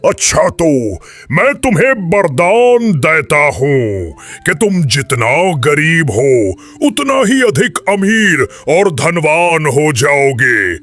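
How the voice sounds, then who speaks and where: A man playing a solemn, stern hermit sage who grants boons in grand, drawn-out pronouncements in a children's cartoon. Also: fairly high pitch, fairly bright tone, exaggerated voice. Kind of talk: Hindi cartoon moral-tale voice acting.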